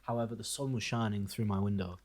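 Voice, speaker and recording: A man co-hosting a music podcast, giving his opinions in casual chat with wry, deadpan humour. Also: lowish voice, fast.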